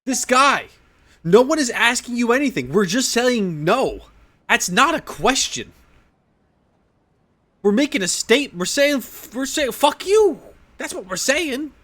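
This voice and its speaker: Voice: mid-range voice, big pitch swings, a little slow, fairly bright tone. A game streamer giving casual, blunt and sarcastic commentary, repeating phrases for emphasis.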